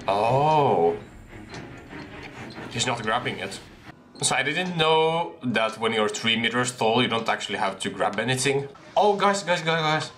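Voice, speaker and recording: A young man casually commenting on a horror game he is playing, into a headset mic in a small room, often yelping at surprises. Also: mid-range voice. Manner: excitable, yelps and laughs at surprises. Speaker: gameplay streamer.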